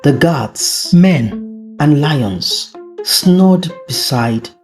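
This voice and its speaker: A storyteller narrating a folktale in a dramatic, deliberate way with frequent pauses. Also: medium pitch, lively intonation, soft background music.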